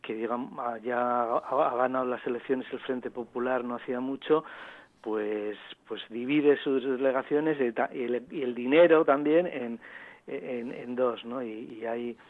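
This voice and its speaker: Halting Spanish man explaining in an interview. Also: mid-range voice, steady tone, dry recording, narrow, tinny audio. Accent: Spain. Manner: halting, repetitive, digressive explaining. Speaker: author interviewed about own book. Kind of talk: conversational radio interview answers.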